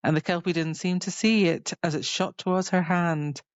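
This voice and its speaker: Woman, slightly low pitch, dull dry recording, casual book podcaster, halting filler-heavy chatter. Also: fairly even intonation, no echo. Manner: loose, halting, filler-heavy phrasing with many "like"s. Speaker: podcast co-host. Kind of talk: casual book chat, retelling scenes.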